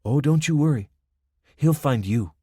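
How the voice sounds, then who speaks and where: A man voicing a confident young woman gang lookout with a soft Spanish accent, mocking and self-assured. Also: fairly high pitch, animated, unhurried, breathy edge, no echo, studio quality, voice pushed up. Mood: taunting.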